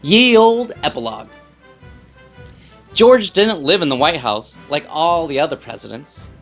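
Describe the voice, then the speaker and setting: A man's voice: fairly high pitch, big pitch swings, measured pace, creaky, fairly bright tone, soft background music. A man hosting a children's read-aloud, reading in a playful, theatrical way and putting questions to young listeners.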